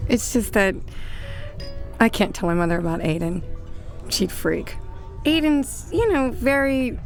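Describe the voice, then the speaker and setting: A woman's voice: fairly high pitch, expressive, a little slow, faint music behind. An actress playing a chatty, apologetic young woman who speaks in a hesitant, confiding way in a naturalistic radio drama.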